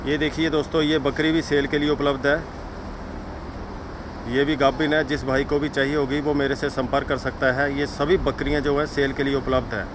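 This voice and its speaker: A man's voice: little pitch movement, moderate pace. A man from Himachal Pradesh showing his goats for sale outdoors at his farm, repeating the same sales lines to viewers he calls friends. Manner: repetitive sales pitch, addresses viewers as friends. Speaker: goat farm seller. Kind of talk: walk-around sales video. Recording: outdoor noise in background.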